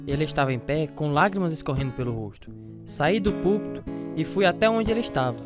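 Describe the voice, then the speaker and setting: A man's voice: fairly high pitch, lively intonation, fast talker, close to an everyday voice. Earnest devout preacher over prominent music, acted by a man. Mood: devout, reflective. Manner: earnest, pious. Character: devout, trusting male preacher telling his life story. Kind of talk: dramatized radio testimony with narration and dialogue.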